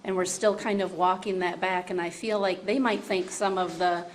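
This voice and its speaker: A woman speaking unscripted on a panel in a measured, reflective way about her field. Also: fairly low pitch, fairly even intonation. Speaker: education panelist. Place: live panel event. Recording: faint room noise of live event.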